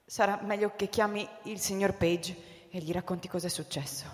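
A woman's voice: mid-range voice, steady tone, moderate pace, natural voice. An actress playing a distressed, bewildered woman who pleads, in a theatrical radio drama. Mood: stunned, subdued. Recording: reverberant hall sound.

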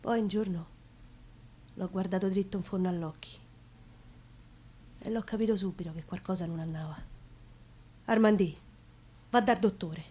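A woman's voice: on the low side, a little hiss or hum, lightly acted. An actress playing a passionate, plain-spoken working-class woman with a Roman accent, recalling her love in an intimate theatrical monologue. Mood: wistful recollection. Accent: Roman Italian. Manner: intimate, emotional storytelling with expressive pauses. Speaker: stage actress.

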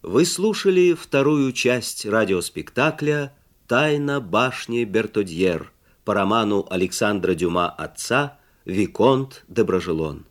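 A man reading radio drama credits as an announcer, in a formal, measured, scripted manner. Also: on the low side, animated.

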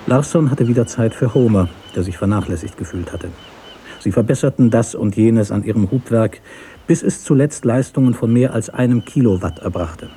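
A man narrating a literary story for radio in a measured, deliberate way. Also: medium pitch, lively intonation, mellow, a little hiss or hum.

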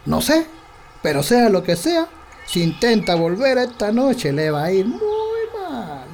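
Man playing stubborn old farmer, rural Chilean accent, folksy, very lively intonation, radio drama, noisy recording. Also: high voice, unhurried, full character voice. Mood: gruff, dismissive. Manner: folksy, colloquial country speech. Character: stubborn, boastful old country farmer, husband and father.

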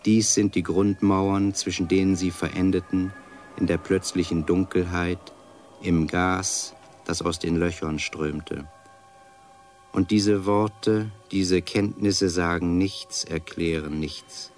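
A man with a very low, level voice reads a literary text solemnly and austerely. He moves slowly, leaving deliberate pauses, with music behind him. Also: steady tone, a little mellow.

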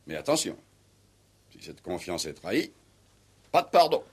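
Older military commander, low voice, stern, pompous, clipped, authoritative, vintage radio drama. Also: male voice, sing-song, normal speed, breathy edge, full character voice. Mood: stern, proud. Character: retired army commander, proud stern war-hero notable. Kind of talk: 1960s French radio play acting.

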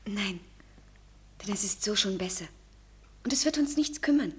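A woman's voice: mid-range voice, lively intonation, moderate pace. An actress playing a devoted young woman in love in a German radio play, speaking tenderly and poetically. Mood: resigned, tender. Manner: breathy, intimate, poetic.

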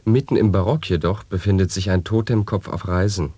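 A man performing a solemn monologist in an avant-garde radio play, reciting absurd wordplay in a measured, declamatory way. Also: low-pitched, dark tone, breathy edge, dry recording. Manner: deliberate, measured, declamatory delivery.

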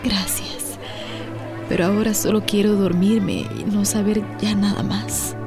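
A woman's voice: medium pitch, sing-song, measured pace, over music. A woman playing an exhausted, worried young wife in a radio drama, subdued and searching. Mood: weary, worried.

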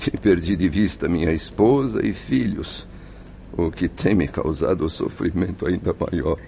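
Deep-voiced, embittered dead merchant. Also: male voice, measured pace, creaky, warm tone, slight background noise. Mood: troubled confession. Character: impatient, embittered dead wine merchant, Portuguese suicide. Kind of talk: Brazilian religious radio drama acting.

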